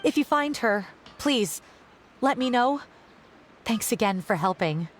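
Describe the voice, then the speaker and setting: A woman's voice: fairly high pitch, animated, measured pace, slight background noise. A woman voicing a worried, polite, grateful woman in a video game. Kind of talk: scripted game dialogue.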